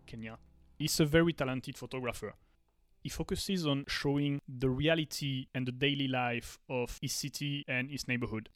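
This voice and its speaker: A man co-hosting a podcast, asking casual questions in a chatty way with soft laughs and hesitant fillers. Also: medium pitch. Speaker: podcast co-host.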